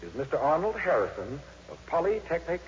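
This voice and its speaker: An actor playing a courteous, formal gentleman in 1950s radio drama style. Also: fairly high pitch, big pitch swings, brisk, slight background noise. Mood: formal, announcing. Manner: polite, formal. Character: courteous gentleman, formal school debate chairman. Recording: dull old radio broadcast, loud music.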